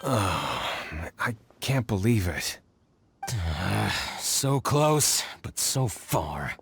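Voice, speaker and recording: A man's voice: on the low side, sing-song, very slow, vocal fry, fairly bright tone, slight background noise, exaggerated voice. An actor playing a dejected, groaning male contest loser in a video game. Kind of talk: English video game dub acting.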